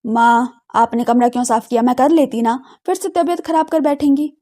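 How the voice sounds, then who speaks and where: A dutiful young daughter in a family audio drama, acted by a woman. She is earnest, frustrated and determined. The voice sits high and soft-toned, with emphatic phrasing. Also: steady tone, moderate pace. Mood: worried scolding. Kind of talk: audio drama character dialogue.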